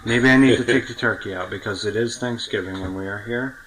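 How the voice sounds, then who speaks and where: A man's voice: on the low side, quick pace, background noise. A man hosting a casual podcast interview, asking questions in an eager, insistent way. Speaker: podcast host.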